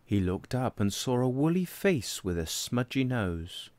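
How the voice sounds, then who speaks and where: A man reading a children's picture book aloud as an expressive, deliberate narrator. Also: medium pitch, no echo. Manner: animated, deliberate. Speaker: storybook reader.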